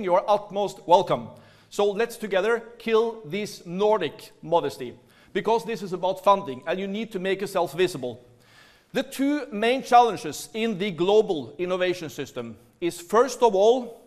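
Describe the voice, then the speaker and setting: A Norwegian man with a Norwegian accent giving a lively, playful business talk to a seminar audience in a large hall. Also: sits high. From Norway. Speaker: seminar speaker.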